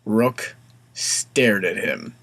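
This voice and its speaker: A man's voice: fairly low pitch, very animated, extremely slow, fairly bright tone. A man reading scripted story narration as the narrator of a comic tale.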